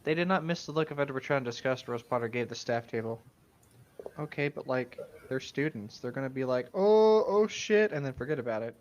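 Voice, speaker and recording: A man's voice: mid-range voice, expressive, brisk, dull audio. A man chatting informally as a co-host, chipping in with casual, sweary reactions. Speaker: co-host reading and reacting to fan fiction. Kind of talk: casual chat and commentary.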